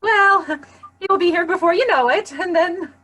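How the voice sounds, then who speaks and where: Woman as haughty stepmother, high pitch, cutting theatrical delivery, children's fairy-tale stage play. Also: normal speed, pushed and bright, faint music behind. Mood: doting, reassuring. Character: haughty, scolding stepmother doting on her daughter.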